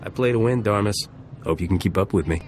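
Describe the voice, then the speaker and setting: Low-voiced cocky smuggler captain, acted by a man. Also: fast talker, a little mellow, slight background noise, natural voice. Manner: brash, threatening, dry wisecracks. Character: cocky, tough smuggler captain, roguish and vengeful. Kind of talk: video game voice acting.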